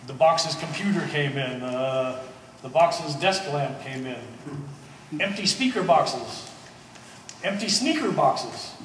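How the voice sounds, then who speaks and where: Easygoing middle-aged ex-hippie guy played by an actor, halting and friendly, stage play, echoey hall far from mic. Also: on the high side, animated, a bit bright. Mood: puzzled searching. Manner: hesitant, halting, amiable. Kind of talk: live one-act stage acting. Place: art gallery stage reading. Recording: echoey hall, distant mic, faint noise.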